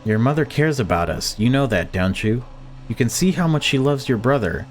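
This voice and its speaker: An anxious, weak-willed father from a horror visual novel, put on by a male reader. He speaks hesitantly and pleads, deep in denial. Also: expressive, moderate pace, natural voice. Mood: pleading.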